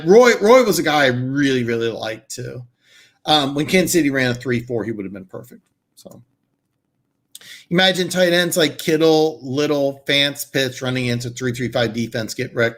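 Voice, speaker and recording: A man hosting a live football chat stream, answering viewers in a rambling, enthusiastic way, his voice hoarse and congested. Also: medium pitch, fairly bright tone. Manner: rambling, many restarts and fillers, enthusiastic.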